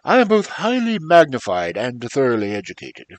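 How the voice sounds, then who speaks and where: An earnest, self-important storybook bug boasting of his learning, as a man puts it on. Pitched high over a man's usual voice and delivered with lively emphasis. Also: moderate pace, no room sound, poor audio.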